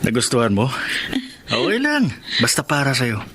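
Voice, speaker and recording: A man playing a cocky, greedy, smooth-talking boyfriend and son in a Filipino radio drama, with a theatrical manner. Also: mid-range voice, wildly swooping pitch, normal speed, fairly bright tone, a little hiss or hum. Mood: coaxing. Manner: boastful, theatrical.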